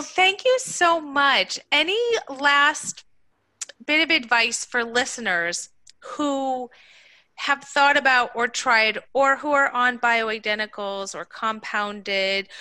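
A woman's voice: on the high side, sharp tone, no echo. A middle-aged woman hosting a podcast interview, affirming and conversational as she asks questions and wraps up. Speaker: podcast host. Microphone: remote call from her kitchen.

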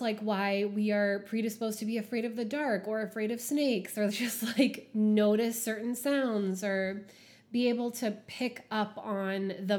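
A young woman talks casually on her solo podcast at a middle pitch, filling her sentences with "like" and small laughs. Her voice comes out stuffy and slightly husky, as she is under the weather. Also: darkish tone. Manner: chatty, frequent "like" fillers, laughs often. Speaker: podcast host.

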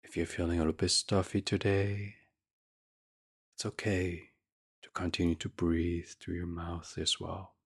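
A man hosting a guided sleep meditation, speaking softly and gently in a near-whisper with long pauses. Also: deep voice, little pitch movement, husky. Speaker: meditation podcast host.

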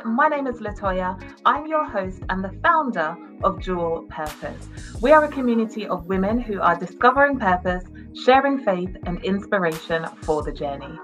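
Female podcast host, encouraging interviewer, mid pitch, soft music bed.

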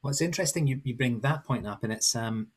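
A man's voice: medium pitch, fast, husky. A man hosting a podcast, asking long, winding questions in a conversational way. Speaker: podcast host. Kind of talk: podcast interview.

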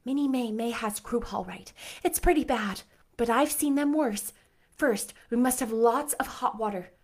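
A woman's voice: on the high side, moderate pace. A woman voicing an imaginative, talkative young girl in a storybook reading, earnest and bookish. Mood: brisk, confident. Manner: earnest, bookish, bright and chatty. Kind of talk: audiobook character voice.

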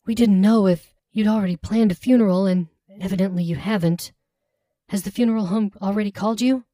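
A man's voice: high-pitched, fairly even intonation, a little fast, mellow, dry recording, pitched above the performer's own voice. A man voicing a concerned, practical young woman who speaks politely and earnestly in an audiobook. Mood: puzzled concern.

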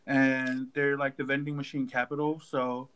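A man chatting casually with friends, animated and joking, with lots of "like" fillers. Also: medium pitch, normal speed, clean tone. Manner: animated, joking, uses "like" often. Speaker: group chat member. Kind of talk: casual group chat.

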